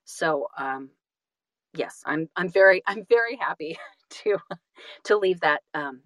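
A woman speaks as a guest in a casual interview. Her voice sits a little low and the sound is very dry. She is effusive, often breaking off and rephrasing as she goes. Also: expressive, fairly bright tone, no room sound. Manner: effusive, halting and self-correcting. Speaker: interview guest, singer and audiobook narrator.

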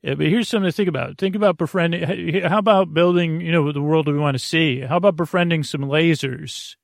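Creaky-voiced man rambling softly through a monologue. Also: a little high, no echo, clean studio sound. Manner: meandering, halting, stop-and-start; goofy, whimsical. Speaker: podcast host. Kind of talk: rambling casual monologue for a sleep podcast.

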